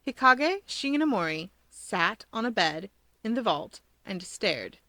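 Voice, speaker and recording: A woman's voice: medium pitch, sing-song, a little slow, bright, forceful tone, no echo. A woman reading scripted fiction as a story narrator.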